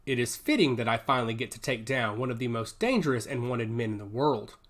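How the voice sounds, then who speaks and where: A man's voice: medium pitch, brisk, fairly bright tone, lightly acted. A man voicing an arrogant, cocky armored soldier captain who scorns outlaws, in an audiobook story. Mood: cocky, boastful. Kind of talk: dramatized audiobook dialogue.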